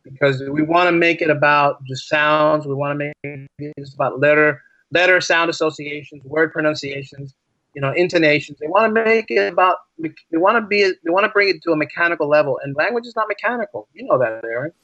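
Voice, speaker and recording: A man's voice: on the high side, a little fast, bright, forceful tone, dry recording, lo-fi sound. A man from the American East Coast, a teacher talking as a podcast guest, explaining his ideas conversationally and often checking in with rhetorical questions. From United States, East Coast. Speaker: podcast guest, English as a second language teacher. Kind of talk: casual interview conversation.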